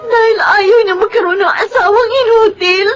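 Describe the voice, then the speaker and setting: Very high-pitched, anguished young daughter. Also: female voice, fairly even intonation, moderate pace, shouting, muffled recording, faint music behind. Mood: anguished, tearful. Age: young adult. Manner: tearful, anguished. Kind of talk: Filipino radio drama acting.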